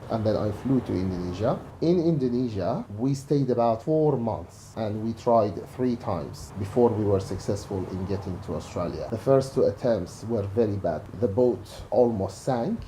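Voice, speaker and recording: Middle-aged man, mellow tone, reflective interview testimony, calm and sincere. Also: lowish voice, slight background noise. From Iraq. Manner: calm, measured, earnest.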